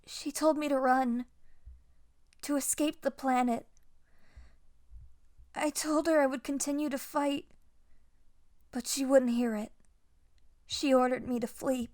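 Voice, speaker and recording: A woman playing a wounded young Jedi survivor in an audio roleplay, gentle and haunted, with a soft, hesitant delivery. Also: high-pitched, fairly even intonation, takes it slowly, long pauses, dry recording. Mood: fearful, hushed. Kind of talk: dramatic first-person audio roleplay.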